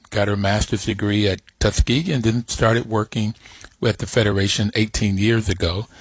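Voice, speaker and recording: A man's voice: on the low side, slightly rough, dry recording, rough recording. A man hosting a radio interview show, conversational in manner and often repeating his guest's words back. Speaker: radio talk show host.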